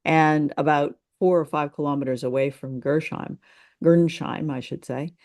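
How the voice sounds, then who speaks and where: A woman co-hosting a podcast, explaining things in casual discussion and thinking aloud in a halting way. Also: low voice, fairly even intonation, a little mellow. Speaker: podcast co-host.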